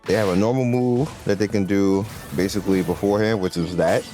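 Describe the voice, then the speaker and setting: A man's voice: lowish voice, fairly even intonation, brisk, dark tone. A man giving casual, rambling commentary over a mobile game, explaining its modes and team builds with lots of fillers and restarts. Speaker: gaming channel host. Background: game music.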